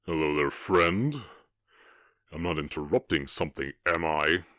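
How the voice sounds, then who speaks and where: A man voicing a fierce but tenderly protective orc cowboy in an ASMR roleplay, speaking in terse, halting, earnest phrases. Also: rumbling low, lively intonation, fairly bright tone, dry recording, studio quality, exaggerated voice. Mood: grim menace.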